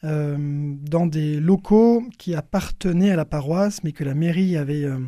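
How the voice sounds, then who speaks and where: A man's voice: fairly high pitch, normal speed, dark tone, no room sound, clean studio sound. A young man, a priest being interviewed, explains things in hesitant phrases, repeating words as he searches for the next. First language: French. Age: young adult.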